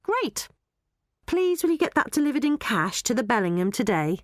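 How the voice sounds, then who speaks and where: Storybook lead: young girl, voiced by a woman, high voice, polite yet bossy, sharp-witted, firm. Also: big pitch swings, a little fast, no room sound, studio quality. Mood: polite, commanding.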